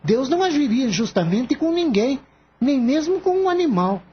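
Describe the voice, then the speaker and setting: Brief: a performer as polite young Brazilian male assistant in radio play; earnest, pleading, very expressive, slightly muffled recording. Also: sits high, normal speed. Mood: earnest, insistent. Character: earnest, gentle young animal-loving male veterinary assistant. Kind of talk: Brazilian radio drama acting.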